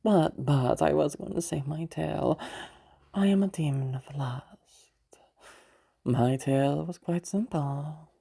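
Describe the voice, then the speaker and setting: Woman doing a teasing demon lady, roleplay audio, super husky and creaky, drops into whispers, patient and sly. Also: sits low, dark tone, heavily acted. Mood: confiding. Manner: slow, teasing, halting delivery with pauses.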